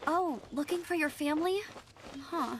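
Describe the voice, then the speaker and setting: Eager, very high-voiced young female knight. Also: moderate pace, very noisy. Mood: upbeat, helpful. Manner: earnest, upbeat, formal when on duty. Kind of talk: video game voice acting.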